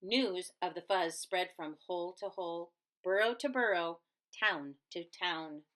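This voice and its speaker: A woman's voice: fairly low pitch, a little slow, fairly bright tone. A woman teacher reading a children's storybook aloud with dramatic, emphatic expression.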